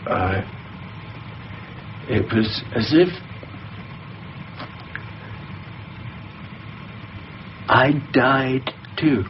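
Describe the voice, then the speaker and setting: A quietly scheming man in a radio play, lowish voice, careful and halting, bit dull with faint hiss. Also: lively intonation. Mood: grieving, confiding. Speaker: actor in a radio drama. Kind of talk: naturalistic 1990s radio drama acting.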